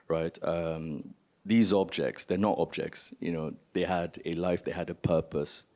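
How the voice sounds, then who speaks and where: A man's voice: low-pitched, fairly even intonation, unhurried, darkish tone. A man from Nigeria giving an informal panel talk as a museum founder, telling stories and asking rhetorical questions. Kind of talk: informal spoken presentation on a conference panel.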